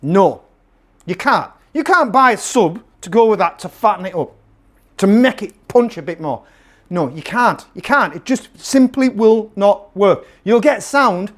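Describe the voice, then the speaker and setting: A man presenting a casual product review to camera, emphatic and salesman-like, repeating phrases to drive his point home. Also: sits high. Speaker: presenter and seller of PA gear.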